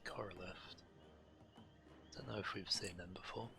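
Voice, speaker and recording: A man's voice: low voice, steady tone, measured pace, creaky. A man streaming a game and reading quest text aloud. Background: game music.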